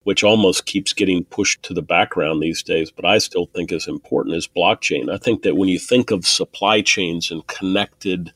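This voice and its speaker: A man's voice: low voice, normal speed, few pauses, a bit bright, husky, dry recording. A middle-aged man answering interview questions about technology in a thoughtful way, often restarting and repeating words. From born in Taiwan, raised in an Air Force family. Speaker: guest, technology executive.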